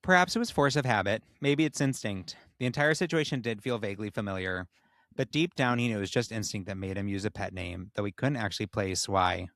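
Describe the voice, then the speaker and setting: A man's voice: fairly low pitch, brisk, a bit bright, no echo, clean studio sound. A man reading a story aloud as a podcast host, playful and dramatic, breaking off into casual asides.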